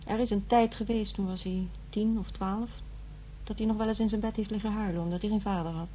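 A woman's voice: medium pitch, fairly even intonation, fairly quick, warm tone, a little hiss or hum, close to an everyday voice, rough recording. An actress playing a weary divorced mother in middle age in a radio play, matter-of-fact and reserved. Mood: troubled, reflective. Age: middle-aged. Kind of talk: radio play acting, naturalistic dialogue.

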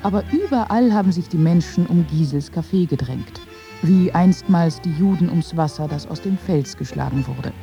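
A woman's voice: on the low side, lively intonation, moderate pace, creaky, warm tone, highs cut off, soft background music. A woman giving a scripted reading of literary texts. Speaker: reader of quoted texts.

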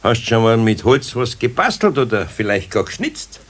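A man playing a patient, gruffly kind older carpenter with a husky voice and Bavarian accent, admonishing in a homely way in a radio play. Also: medium pitch, sing-song, normal speed. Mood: friendly, firm. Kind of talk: 1970s German children's radio play acting.

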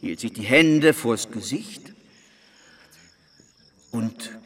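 An actor playing a solemn, fervent preacher who tells a story as a sermon in a radio play, with emphatic pauses. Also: male voice, mid-range voice, expressive, breathy edge, exaggerated voice. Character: solemn, fervent male preacher telling a parable. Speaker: narrator and preacher.

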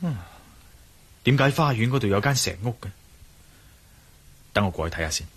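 An actor playing an inquisitive, bold male adventurer in a radio drama, with a Hong Kong Cantonese accent and a deliberate, pondering manner. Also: mid-range voice, lively intonation. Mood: hushed wondering. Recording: radio drama with clear music behind.

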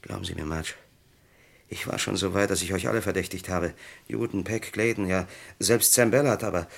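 A man's voice: deep voice, steady tone, brisk, vocal fry. An actor playing a bitter, despairing young man wrongly accused of murder in a vintage radio crime drama, brooding and resigned.

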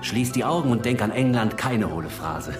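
Actor as distant, echoey British spymaster. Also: male voice, medium pitch, animated, moderate pace, lightly acted. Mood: conspiratorial. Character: scheming, rousing British spymaster uncle in wartime. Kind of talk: radio play acting. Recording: distant echoey room with prominent music.

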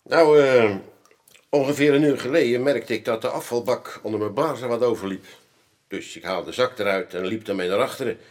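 A man's voice: mid-range voice, fairly quick, lightly acted. A man playing a blunt, chatty pub landlord in a radio drama. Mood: chatty, recounting. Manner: blunt, colloquial, bossy. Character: gruff, chatty male Amsterdam pub landlord. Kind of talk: Dutch radio drama acting.

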